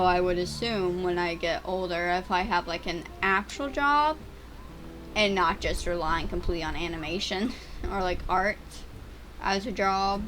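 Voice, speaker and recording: A woman's voice: fairly bright tone, rough recording. Stammering, chatty young gaming commentator girl. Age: teens. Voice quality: voice getting deeper and rougher over time. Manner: chatty, stammering, restarts words. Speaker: gaming YouTuber. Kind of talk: rambling gameplay commentary.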